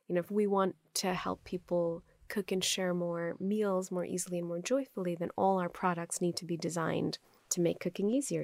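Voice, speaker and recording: A woman from Pakistan whose first language is Urdu speaks with a low, gravelly voice. She answers interview questions earnestly, stringing phrases together in long flowing lists. The sound is dry and close. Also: no echo. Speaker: company founder, guest.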